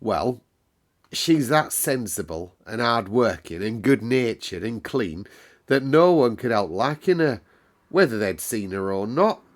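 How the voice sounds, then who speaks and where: A man's voice: medium pitch, sing-song, a little slow. A man voicing a cheerful, chatty young Yorkshire housemaid in broad dialect for a children's storybook. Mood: chatty, proud. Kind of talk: children's storybook read-aloud.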